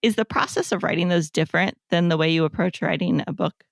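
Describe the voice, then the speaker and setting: A woman's voice: lowish voice, fast, gravelly, no echo, clean studio sound. A woman hosting an interview podcast, asking questions in a conversational way.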